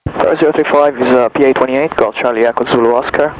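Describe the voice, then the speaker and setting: A man's voice: medium pitch, steady tone, quick pace. A man speaking as a pilot, making procedural calls over an aircraft radio. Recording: muffled, noisy aircraft radio transmission.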